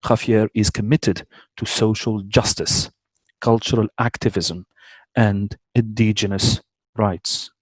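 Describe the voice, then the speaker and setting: Brief: low-pitched male host, measured and careful, dry close video-call sound. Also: fairly even intonation, breathy edge, no room sound, rough recording. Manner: measured, careful with names, small self-corrections. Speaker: host introducing a guest speaker. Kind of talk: reading a formal introduction. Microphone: online video call.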